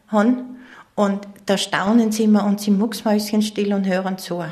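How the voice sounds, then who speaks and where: An older woman from East Tyrol with a Vorarlberg Austrian accent, reminiscing conversationally as an interview guest. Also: mid-range voice. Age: 60s or older.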